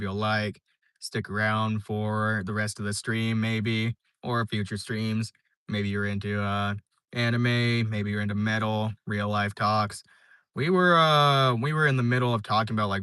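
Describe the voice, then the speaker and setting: A man's voice: fairly low pitch, sharp tone, smooth, no echo, studio quality. A young man live streaming, chatting casually and reading viewer comments, rambling with lots of fillers and restarts. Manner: laid-back, rambling, many fillers and restarts.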